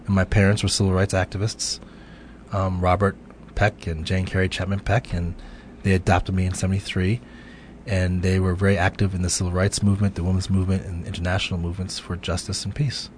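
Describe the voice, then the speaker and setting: Middle-aged man, deep monotone, hesitant thoughtful interviewee, slight background noise. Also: a little fast. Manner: thoughtful, hesitant, many ums. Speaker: guest. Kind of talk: radio interview.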